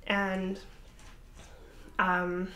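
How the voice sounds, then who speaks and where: A woman's voice: medium pitch, completely flat delivery, extremely slow, bright, forceful tone, a little hiss or hum. Rambling young woman in an echoey room. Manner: chatty and rambling, with many fillers and apologetic asides. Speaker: podcast host. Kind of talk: casual chat to camera.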